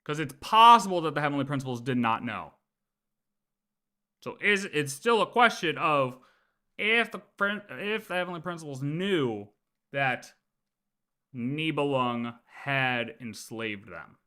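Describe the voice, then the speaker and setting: Man, livestream host, bright forceful voice, casual, argumentative, emphatic, repeats himself. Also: a little high, lively intonation, measured pace. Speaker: livestream host reacting to game lore. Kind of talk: casual live commentary, arguing a point.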